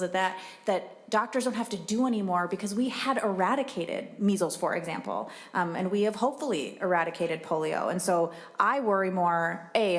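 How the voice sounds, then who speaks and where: A woman of forty speaking as a state senator in a committee, arguing earnestly in a conversational way. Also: on the low side. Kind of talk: legislative committee remarks.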